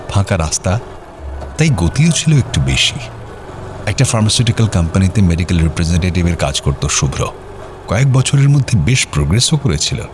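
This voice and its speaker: A man narrating an audio drama as storyteller, in a dramatic scripted reading style. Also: low voice. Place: studio. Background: music.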